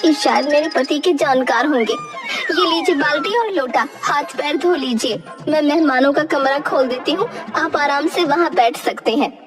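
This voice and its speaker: A woman's voice: high-pitched, expressive, normal speed, over loud music, pitched above the performer's own voice. A woman voicing a distressed young village wife and mother in a cartoon, plaintive and emotional. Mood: hospitable. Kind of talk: cartoon story voice acting.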